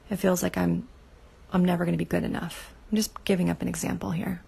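A woman guides a meditation in a heavily gravelly, breathy voice. She speaks softly and slowly, often sinking into a half whisper. Her pitch stays flat and even throughout. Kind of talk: guided inner-child meditation.

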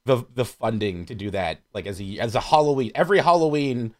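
A man's voice: mid-range voice, fairly quick, darkish tone. A man chatting casually and enthusiastically about films as a podcast co-host, with slangy, profane phrasing.

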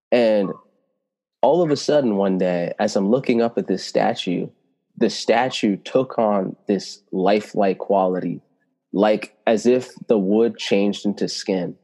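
Low warm-sounding guy, italian first language, earnest slow spiritual storytelling, lots of hall echo. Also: fairly even intonation. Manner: reflective, flowing, slows to stress key words. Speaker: spiritual teacher and healer.

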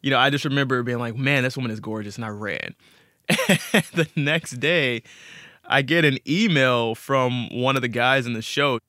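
A man's voice: medium pitch, moderate pace, pushed and bright, no echo. A man interview guest casually telling a personal story in a studio.

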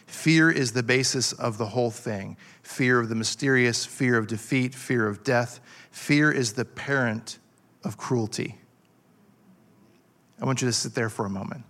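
A man in his forties preaching a passionate sermon, halting with fillers and restarts as he builds his point. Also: medium pitch, fairly bright tone. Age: 40s. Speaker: pastor.

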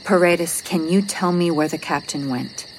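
A woman's voice: lowish voice, moderate pace, a little hiss or hum, natural voice, rough recording. An actress playing a stressed, weary space mission officer who is protective of her crew, in an audio drama. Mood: worried, weary. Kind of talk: audio drama acting, logged report monologue.

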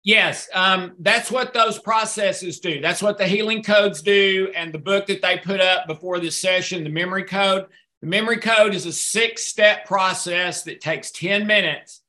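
A man's voice: sits high, sharp tone. A man answering audience questions in a casual session, rambling a little and poking fun at himself. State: a little hard of hearing. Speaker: guest speaker answering questions.

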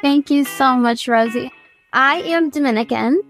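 A woman's voice: high voice, measured pace, faint music behind. Earnest young woman telling her story. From United States. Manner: earnest, laughs easily while talking. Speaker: singer-songwriter guest. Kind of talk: candid personal storytelling.

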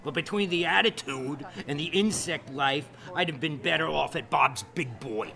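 A creaky, snide, high-pitched diner. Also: male voice, moderate pace, pushed and bright, faint music behind. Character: snide, leering, complaining male restaurant customer. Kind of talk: comic radio theatre acting. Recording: restaurant crowd and clinking behind.

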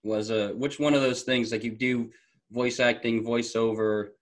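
A man's voice: mid-range voice, steady tone, normal speed. A twenty-three-year-old man co-hosting a casual interview, asking curious questions in an apologetic, easygoing way. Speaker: podcast co-host. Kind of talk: casual chat.

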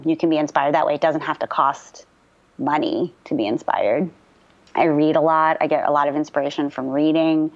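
A woman voice actor chatting in an interview, lively and talkative with many fillers. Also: deep voice, lo-fi sound. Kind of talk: casual interview chat.

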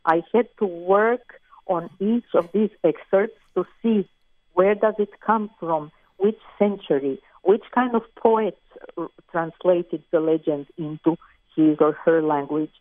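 A woman from Croatia with a Croatian accent, a musician talking thoughtfully and with pauses as an interview guest. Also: fairly low pitch, no echo. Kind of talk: interview over the phone. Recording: tinny phone line, dry.

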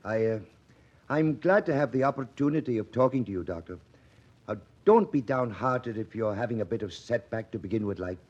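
Folksy older mine boss in an old radio play, mid voice bit rough, muffled recording. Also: male voice, on the low side, fairly quick, a little mellow. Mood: kindly, reassuring. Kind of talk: 1940s radio drama acting.

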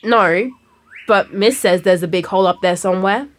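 A woman's voice: medium pitch, normal speed, lightly acted. An actress playing an exasperated schoolgirl granddaughter in a comic audio drama. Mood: weary. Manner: exasperated, sighing.

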